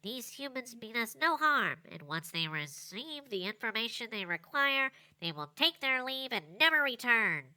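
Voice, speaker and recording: A woman's voice: fairly high pitch, sing-song, moderate pace, few pauses, sharp tone, clear, resonant tone, no room sound, full character voice. A woman voicing a small male goblin watchman in an audio roleplay, proud, fretful and given to formal proclamations. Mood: earnest, formal.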